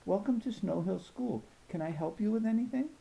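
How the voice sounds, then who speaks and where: Man putting on a high-pitched, polite woman principal. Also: lively intonation, a little fast, vocal fry, warm tone, breathy edge, heavily acted, rough recording. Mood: polite welcome. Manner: polite, formal. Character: serious but welcoming woman school principal.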